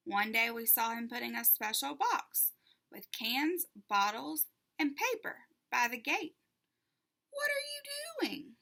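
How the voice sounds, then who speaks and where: Animated, bright-voiced woman reading a storybook. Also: a little high, measured pace, gravelly. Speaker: teacher reading a children's book aloud. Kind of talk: expressive children's storybook read-aloud.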